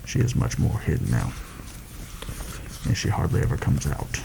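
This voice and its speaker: A very deep-voiced, shrewd male mercenary, acted out by a man in a soft-spoken ASMR fantasy roleplay. He confides his secret plans deliberately and a little formally, with a gravelly creak and lively swings in pitch. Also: noisy background, heavily acted. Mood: grave, cautious.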